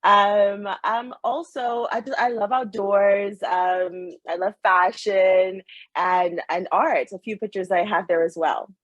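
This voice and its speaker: A woman's voice: medium pitch, steady tone, slow, dry recording, muffled recording. A middle-aged woman from Saint Lucia, a nurse and hospital administrator, giving a friendly, conversational talk on a video call.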